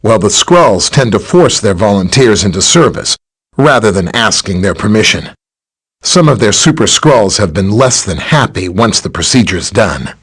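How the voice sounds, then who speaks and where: An actor playing a helpful, earnest scientist superhero in a video game, explaining technical matters in a friendly way. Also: male voice, fairly low pitch, expressive, moderate pace, a bit bright, slightly rough. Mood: explanatory. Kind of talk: scripted game dialogue, explaining and briefing.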